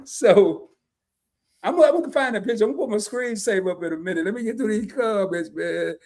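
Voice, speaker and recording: A man co-hosting a casual live stream, reading out viewer comments and telling lively stories with quick asides. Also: high-pitched. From family from Arkansas and Chicago, lived in California. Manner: animated storyteller who drops into quick asides and reads comments in voices. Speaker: co-host of a live discussion stream.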